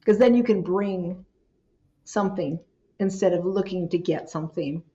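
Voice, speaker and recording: A woman's voice: fairly low pitch, moderate pace, mellow, lo-fi sound. A woman hosting a podcast, affirming her guest with frequent encouraging murmurs and closing the episode. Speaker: podcast host. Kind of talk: podcast interview and outro.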